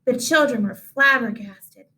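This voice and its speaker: Lady with a high voice doing an expressive kids book read-aloud. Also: a little slow. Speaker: storyteller reading a children's book aloud.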